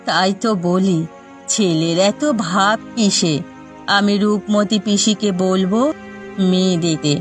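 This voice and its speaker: A woman voicing a middle-aged widowed village mother in a cartoon, indulgent and gently chiding. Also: medium pitch, fairly even intonation, slow, faint music behind, lightly acted. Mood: teasing, indulgent. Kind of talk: Bengali cartoon dialogue.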